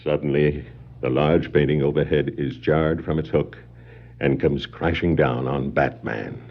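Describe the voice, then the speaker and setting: A man's voice: a bass voice, fairly even intonation, a little slow, gravelly, noisy background. A man giving dramatic scripted narration for a 1960s superhero adventure record. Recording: dull vintage vinyl record, music behind.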